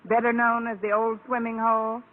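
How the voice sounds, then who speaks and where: An American actress playing a wry, deadpan schoolteacher in an old radio sitcom, trading dry wisecracks. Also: female voice, fairly high pitch, brisk, natural voice. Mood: wry teasing. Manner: deadpan, sarcastic. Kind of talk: 1940s-50s American radio sitcom acting. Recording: tinny, heavily noisy old radio recording.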